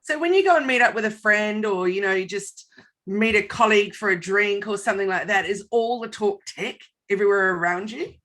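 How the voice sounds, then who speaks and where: A woman hosting a casual live interview over a video call, bubbly and enthusiastic, a little croaky this morning. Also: medium pitch, brisk, fairly bright tone. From Australia. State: slightly croaky voice. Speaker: host.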